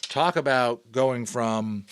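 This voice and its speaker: A middle-aged American man reading a novel aloud theatrically, with hushed asides and casual commentary. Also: mid-range voice, slow pace, clean tone, dry recording. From United States. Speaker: audiobook reader and host.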